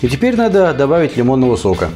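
A man in his forties, hoarse with a cold, giving casual, colloquial recipe instructions as a cooking show host. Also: medium pitch, wildly swooping pitch, fast talker. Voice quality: hoarse, husky. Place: home kitchen. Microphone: lavalier clipped to T-shirt. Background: guitar music.

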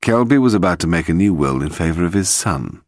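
A man reads a mystery audiobook. His voice is deep and moves expressively through the scripted prose. The sound is dry and close. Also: animated, fairly quick, dry recording. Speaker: audiobook narrator. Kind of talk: scripted narration of a mystery novel.

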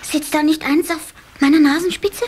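A woman's voice: high voice, fairly even intonation, moderate pace, close to an everyday voice. A woman playing an earnest, anxious little water-sprite boy in a children's radio play. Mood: upset.

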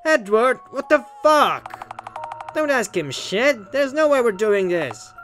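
A man's voice: extremely high pitch, big pitch swings, slow pace, a bit bright, pitched above the performer's own voice, exaggerated voice. A man voicing a hot-headed, defiant, foul-mouthed young man, brash and indignant, over music. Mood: outraged.

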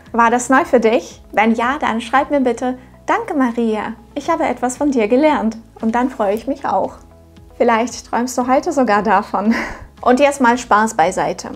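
A woman's voice: on the high side, husky. A woman in her thirties, not a native speaker, teaching a scripted video lesson to camera from a home studio in an upbeat, encouraging way. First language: not German. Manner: upbeat, encouraging, addresses viewer directly. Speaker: German teacher, channel host.

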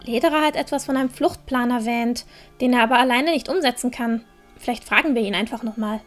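Eine Frau spielt eine helle, ernsthafte junge Abenteurerin. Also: female voice, on the high side, brisk, faint music behind, lightly acted. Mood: pragmatic. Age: young adult. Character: earnest young girl adventurer, pleading and pragmatic. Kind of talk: fan-made fantasy audio drama acting.

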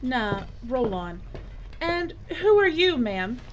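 An actress playing a shrewd, bossy documentary crew leader with a brisk, businesslike manner in an audio drama. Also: female voice, fairly high pitch, sing-song. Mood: probing. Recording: dull, noisy audio.

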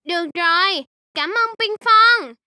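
Sweet little baby shark for a kids cartoon, super high voice, slow clear lines to the viewers. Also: female voice, sharp tone, no room sound, clean studio sound, heavily acted. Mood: earnest, pleading. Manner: exclamatory, addresses young viewers directly. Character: young baby shark, sweet, easily frightened, earnest. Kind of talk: children's cartoon acting.

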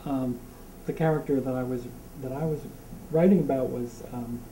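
A man in the audience of a book discussion, talking in halting phrases with many restarts. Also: medium pitch, steady tone, mellow. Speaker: audience member. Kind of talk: casual discussion. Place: community meeting room. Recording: echoey room from a distance, faint noise.

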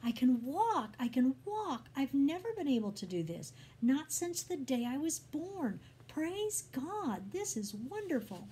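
A woman voicing an overjoyed healed man in a story, exclaiming with excitement. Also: sits high, measured pace, few pauses, pitched above the performer's own voice. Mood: jubilant.

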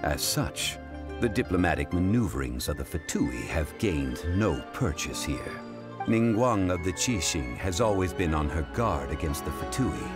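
A man playing a dignified, learned gentleman in a fantasy video game, speaking in a formal, measured and solemn way. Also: deep voice, animated. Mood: calm, dignified. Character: dignified, learned young-looking male funeral parlor consultant. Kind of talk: video game character dialogue. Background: music.

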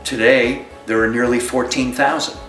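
A man's voice: medium pitch, fairly even intonation, normal speed, fairly bright tone. A man recalling figures in a documentary interview, with a roomy sound. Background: guitar music.

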